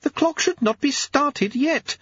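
A man's voice: very high-pitched, unhurried, fairly bright tone, dry recording, muffled recording, voice pushed up. A man voicing a tense, haughty aristocratic lady with precise, formal, controlled speech, in an audiobook. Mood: wary.

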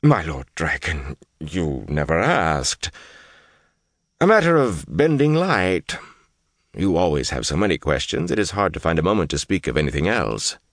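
A man's voice: extremely low pitch, gravelly, no echo, studio quality, exaggerated voice. A man voicing a frightened, slippery servant in an audiobook fantasy tale, obsequious and pleading, prone to babbling and stammering. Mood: wary, obsequious.

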